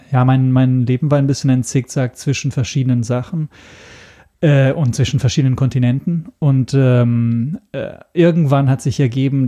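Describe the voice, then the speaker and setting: A man's voice: mid-range voice, mellow. A man from Italy, a non-native German speaker with an Italian accent, answering interview questions with frequent hesitations. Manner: hesitant, many ums. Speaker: podcast guest. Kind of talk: casual interview talk.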